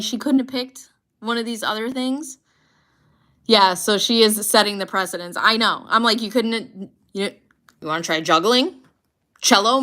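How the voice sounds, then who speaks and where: A woman's voice: a little high, pushed and bright. A woman in her late thirties hosting a casual livestream, chatting loosely and swearing freely. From United States. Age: 30s. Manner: chatty, swearing, laughing often. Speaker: livestream host. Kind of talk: casual livestream chat reading comments.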